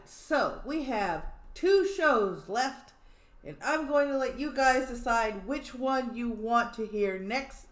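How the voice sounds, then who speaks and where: A woman hosting a stream, chatting casually and teasing the show she plays. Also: on the high side. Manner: wry, teasing, echoing lines back with laughs. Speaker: streamer hosting an old radio listen-along. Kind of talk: casual chat and heckling. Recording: echoey room.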